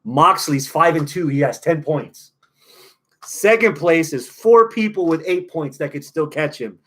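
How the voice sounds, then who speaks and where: A man's voice: on the high side, expressive, unhurried, a bit bright, slightly rough. A man with an American accent hosting a wrestling podcast, giving opinionated, profane analysis in a casual chat.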